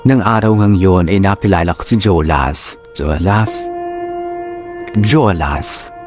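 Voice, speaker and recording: A man with a Filipino accent reading a story as dramatic, measured scripted narration. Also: low-pitched, soft background music.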